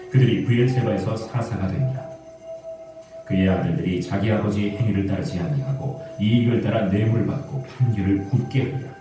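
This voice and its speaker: A man narrating a dramatised audio Bible in a formal scripted reading. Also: deep voice. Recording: echoey hall playback from far off.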